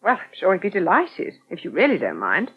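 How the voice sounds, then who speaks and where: A woman's voice: on the low side, huge pitch swings, a little fast, husky, full character voice. An actress playing a polite, gracious English woman in charge at a restaurant in a 1980 British radio soap, courteous and emphatic. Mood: grateful, surprised. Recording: dull, band-limited old radio recording.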